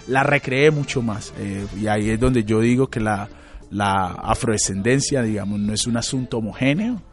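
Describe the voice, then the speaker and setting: A Colombian man in his late thirties, a psychologist and radio guest, answering in a thoughtful, halting way with many fillers and restarts. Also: mid-range voice. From Colombia, Chocó. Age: late 30s. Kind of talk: reflective interview answers.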